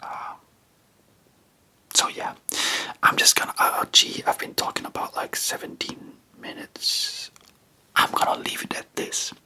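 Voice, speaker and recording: A man's voice: unhurried, pauses often, pushed and bright. Man whispering rambling ASMR chat. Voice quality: breathy unvoiced whisper. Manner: rambling, hesitant, spontaneous. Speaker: ASMR video host.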